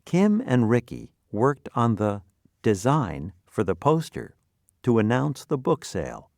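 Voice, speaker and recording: Guy reading vocab lesson stuff real slow, lively up and down pitch, very dry sound. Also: no echo. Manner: deliberate, clearly separated phrases. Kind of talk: scripted educational narration.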